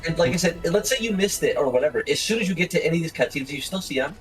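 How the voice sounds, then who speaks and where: A man's voice: fairly high pitch, animated, very fast, soft background music, rough recording. A man hosting a gaming video, chatting casually and joking with a friend while he plays. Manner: joking, giggly, rambling. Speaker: gaming channel host. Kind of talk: casual gameplay commentary.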